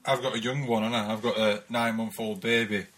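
A man from Oldham in Manchester, co-hosting a relaxed, friendly podcast chat. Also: on the low side, fast talker. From Oldham, Manchester, England. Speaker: podcast co-host. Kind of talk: casual chat. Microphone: video call.

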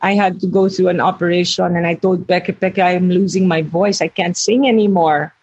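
A woman's voice: lowish voice, steady tone, a little fast, darkish tone, husky, muffled recording. An older woman, an actress talking earnestly about her craft in an interview and often correcting herself mid-thought. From Philippines. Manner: earnest, searching for words, self-correcting. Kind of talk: reflective interview talk.

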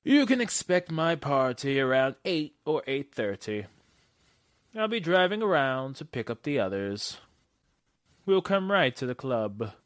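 A man acting a wealthy, imperious and fussy gentleman in a radio drama, with a pompous, haughty delivery. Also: medium pitch, sing-song, measured pace, dry recording, clean studio sound. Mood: smug, fussy. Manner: pompous, haughty, wheedling menace. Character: wealthy, imperious, fussy club owner demanding special treatment.

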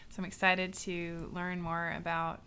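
A woman's voice: on the low side, completely flat delivery, unhurried, sharp tone, clean tone. A woman hosting a podcast, asking questions in a casual, encouraging way with some fillers. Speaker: podcast host. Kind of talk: casual interview talk.

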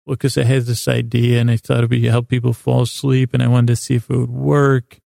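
Very gravelly, creaky man rambling softly. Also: medium pitch, flat delivery, no echo, clean studio sound. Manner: meandering, halting, trails off mid-thought. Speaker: sleep podcast host. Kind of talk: rambling casual bedtime storytelling monologue.

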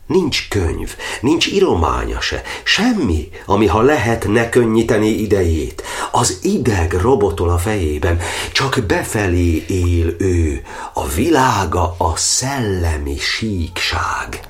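A deep-voiced, anguished old cardinal. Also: male voice, big pitch swings. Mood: sombre, remembering. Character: imprisoned Hungarian cardinal, old, suffering, brooding over his martyrdom. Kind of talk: theatrical verse monologue in an audio drama.

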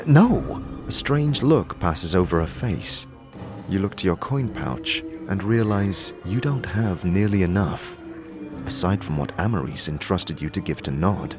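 A man reading scripted narration for a fantasy audio drama. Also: sits low. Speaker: narrator and actor. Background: prominent music.

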